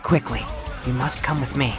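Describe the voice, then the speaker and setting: Man voicing a gentle, hushed young ghost woman. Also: mid-range voice, moderate pace, close to an everyday voice. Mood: urgent. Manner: hushed, soothing, bidding. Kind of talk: audio comic storytelling. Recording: music under the voice.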